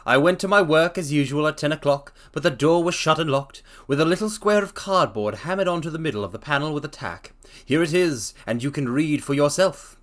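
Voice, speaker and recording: A man's voice: fairly high pitch, a bit bright. A man voicing an earnest middle-aged shopkeeper who tells his own story plainly.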